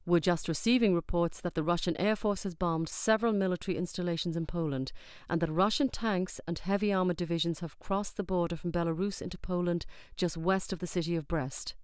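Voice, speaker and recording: A woman's voice: lowish voice, steady tone, a little fast, darkish tone, clear, resonant tone, no room sound, studio quality, natural voice. A woman playing a serious news anchor, reading breaking news in a formal, composed way for a drama. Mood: composed, urgent.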